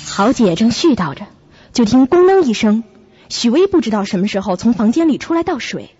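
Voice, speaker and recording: A woman playing a sensible, caring young flatmate who narrates and acts in a radio drama, with a husky, breathy voice. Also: on the high side, fairly quick, no room sound, lo-fi sound, soft background music, heavily acted. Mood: uneasy narration.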